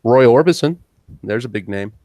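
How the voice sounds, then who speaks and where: A man co-hosting a crude casual chat show, loud and jokey, swearing freely. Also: mid-range voice, lively intonation, moderate pace. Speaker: podcast co-host.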